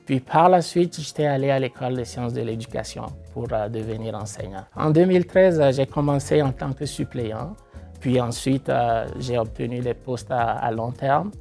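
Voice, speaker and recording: A man from Togo who teaches math and science, speaking in an interview with hesitant pauses and fillers. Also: mid-range voice, vocal fry, a little mellow, faint music behind. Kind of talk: interview testimony.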